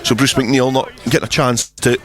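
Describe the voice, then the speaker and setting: A man's voice: mid-range voice, little pitch movement, normal speed. Man commentating live, crowd behind. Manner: flowing, descriptive play-by-play. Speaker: rugby commentator. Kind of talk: live radio sports commentary. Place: outdoors at a rugby ground. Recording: outdoor match with crowd noise. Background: crowd at the match.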